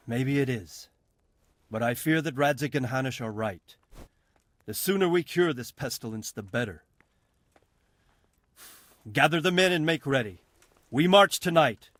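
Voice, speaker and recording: A man's voice: medium pitch, lively intonation, a little slow, long pauses, a bit bright, dry recording. A man acting a shrewd, resolute castle lord in a medieval video game. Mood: resolved.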